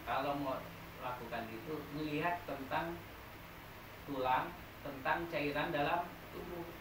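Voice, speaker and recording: A man leads a massage therapy class, explaining step by step and throwing questions at the students. His voice sits a little high. It comes from across an echoey, noisy room, well away from the mic. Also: unhurried, recorded from a distance. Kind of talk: teaching a training class.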